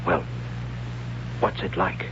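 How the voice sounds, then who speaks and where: A man's voice: slow pace, lightly acted. An actor playing a questioning young man, a priest's son, with an intense, dramatic delivery in 1970s radio drama style. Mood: incredulous. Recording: dull, noisy 1970s radio recording.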